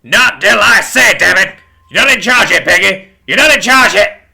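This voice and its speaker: A man's voice: fairly high pitch, very animated, moderate pace, creaky, pushed and bright, breathy edge, voice pushed up, heavily acted. A man voicing a domineering, hot-tempered badger father in a story, with rustic, commanding, seething speech. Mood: furious. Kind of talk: dramatic storybook character voice.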